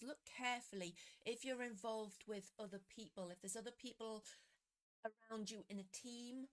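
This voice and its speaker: Live tarot host, woman, chatty and a bit croaky, greets viewers by name. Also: medium pitch. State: slightly croaky voice. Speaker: tarot reader hosting a live stream. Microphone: computer webcam setup.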